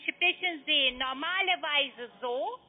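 A woman with a foreign accent, not a native speaker, working as a call centre agent, pressing and repetitive in halting sentences. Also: high-pitched. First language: not German. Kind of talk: phone sales call. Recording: muffled narrow phone line.

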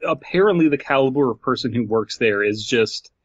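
A man's voice: mid-range voice, lively intonation, fairly quick, no room sound. A man co-hosting a podcast, chatting casually and reading out headlines. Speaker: podcast co-host. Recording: dull lo-fi, dry.

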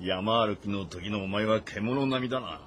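Low-pitched, easygoing warrior general. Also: male voice, a little slow, fairly bright tone, a little hiss or hum. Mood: teasing, relaxed. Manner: familiar, teasing, blunt. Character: gruff, easygoing male warrior general on a march. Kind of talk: dramatized historical game dialogue.

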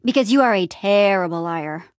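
A woman playing a plucky, bossy but encouraging young adventurer heroine in a video game, with an expressive, casual, peppy delivery. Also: medium pitch, normal speed, no echo, studio quality, lightly acted. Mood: exasperated, pushing. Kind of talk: animated adventure game dialogue.

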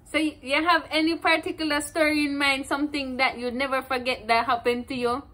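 A woman's voice: high-pitched, flat delivery, fairly quick, sharp tone. A woman in her twenties with a Jamaican accent, asking questions in a casual interview. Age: 20s. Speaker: interviewer, granddaughter. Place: indoors, plain room.

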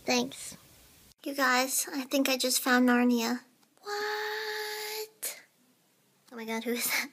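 Woman with a very high, childlike voice. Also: fairly bright tone, husky. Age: 20s. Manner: playful, bubbly, quick jokes, swings into squeals. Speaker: comedy video creator. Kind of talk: short comedy sketches. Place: at home, in cars and outdoors. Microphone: phone camera at arm's length.

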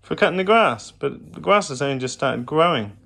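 A man giving a relaxed, chatty tour of his farm, filmed on a handheld phone outdoors and in sheds. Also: fairly high pitch, brisk, creaky, slightly rough, dry recording. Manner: relaxed, gentle, chatty with a child. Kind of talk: casual walking farm tour vlog. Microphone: handheld phone camera.

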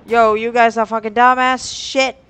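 A man's voice: extremely high pitch, level tone, normal speed, fairly bright tone, clean tone. A young man casually telling a story while commentating on a game, rambling in slang with heavy swearing. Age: young adult. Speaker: gaming video creator telling stories over gameplay.

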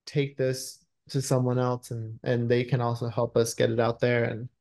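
A man's voice: medium pitch, level tone, moderate pace, creaky, a little mellow. Effusive man in a video-call interview. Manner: effusive, thoughtful, searching for words. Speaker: screenwriter being interviewed. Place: indoors in a room at home, on a video call. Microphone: computer video-call microphone.

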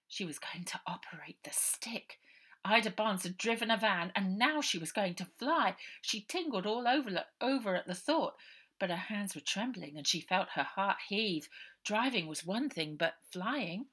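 A woman's voice: on the low side, a bit bright. A woman in her fifties with an English accent, reading a children's novel aloud expressively. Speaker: author reading own novel. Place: small room indoors at home.

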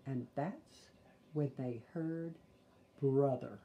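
A woman's voice: extremely low pitch, extremely slow, lo-fi sound, slight background noise. A woman in her sixties reading a children's story aloud expressively, with small asides to listeners, in a living room. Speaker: storybook reader. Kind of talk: children's read-aloud narration.

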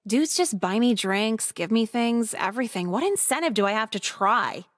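An actress playing a sardonic, manipulative eighteen-year-old girl in a visual novel game, with deadpan snark. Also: on the high side, moderate pace, no echo, clean studio sound, lightly acted.

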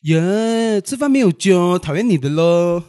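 A man's voice: sits high, animated, a little slow, dark tone. A man playing a camp, fussy young guy. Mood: mock pouty. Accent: Malaysian. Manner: effeminate, fussy.

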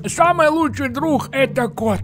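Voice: high voice, normal speed, a bit bright, faint music behind. A performer voicing a cocky, whiny talking cat in a colloquial, complaining comic pet dub. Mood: deadpan musing.

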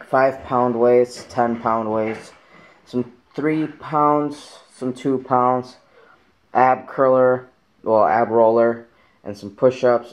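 A young man talks casually into a camera held at arm's length in his bedroom, his voice sitting at an ordinary middle pitch. He rambles in an easygoing way, padding his sentences with fillers as he goes. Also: darkish tone. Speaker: vlogger. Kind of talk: casual vlog talk to camera. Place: bedroom at home, indoors. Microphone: handheld camera at arm's length.

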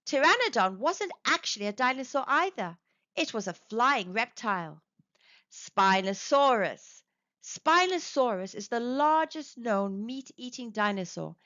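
Woman, children's storybook narrator, very expressive pitch, unhurried pace, teacherly, clearly enunciated, slightly muffled close recording. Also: no room sound. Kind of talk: read-aloud children's educational narration.

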